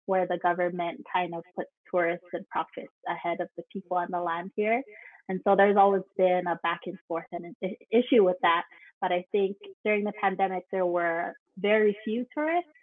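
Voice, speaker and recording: A woman's voice: lowish voice, fairly even intonation, normal speed, no echo. A woman from Hawaii chatting casually as a podcast guest, heard over a thin, phone-like connection. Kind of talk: casual interview chat. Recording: thin phone-like call audio.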